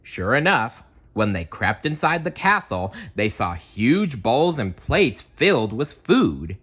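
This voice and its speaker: A man reading a children's storybook aloud as an expressive storyteller, theatrical and deliberate in his delivery. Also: mid-range voice, husky.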